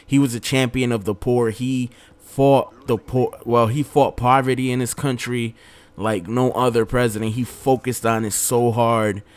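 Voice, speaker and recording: Young male vlogger, mid-pitched and smooth, loose rambling delivery with restarts. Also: steady tone, measured pace. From Dominican Republic. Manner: rambling, frequent restarts and self-corrections. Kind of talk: casual opinion vlog.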